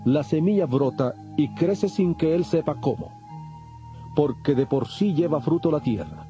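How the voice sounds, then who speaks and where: Casting: male actor as a solemn parable-telling teacher, very mellow tone, calm authority, audio Bible drama with soft music. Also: fairly high pitch, natural voice. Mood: solemn teaching. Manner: measured, solemn teaching delivery. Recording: dull, band-limited audio with music.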